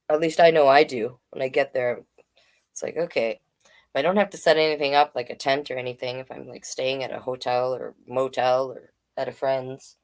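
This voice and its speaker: A woman hosting a storytime, reading picture books aloud in an informal way with chatty asides. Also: extremely low pitch. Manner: informal, interjects comments while reading. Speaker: drag king storyteller, host.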